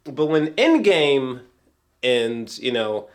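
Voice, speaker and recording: A man's voice: medium pitch, very animated. A man chatting casually as an amateur film reviewer, geeky and gushing, swearing freely and often stumbling back over his words. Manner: halting, repeats himself, often breaks into chuckles.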